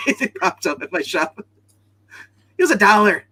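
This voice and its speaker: A man's voice: high voice, sing-song, takes it slowly, bright, forceful tone, slightly rough. A man hosting a casual comic book chat show, talking in a chatty, slangy way. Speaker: host of a comics review show.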